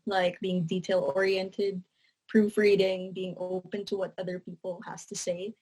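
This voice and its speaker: A woman's voice: medium pitch, slightly rough, poor audio. A young adult woman with a Filipino English accent, talking about her writing career in an interview. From Philippines. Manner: relaxed, earnest, says "you know" a lot. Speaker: guest, writer and content editor. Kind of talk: casual interview answers.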